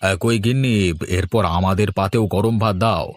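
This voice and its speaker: A man's voice: on the low side, fairly even intonation, normal speed, no echo, close to an everyday voice. A man voicing a teasing city husband visiting the village in a cartoon, joshing and pleading with his wife. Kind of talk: cartoon voice acting.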